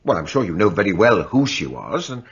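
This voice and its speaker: A man's voice: deep voice, big pitch swings, fairly quick, slightly rough, full character voice. A man playing a formal examining magistrate who questions witnesses insistently in a radio drama. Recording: dull studio audio play.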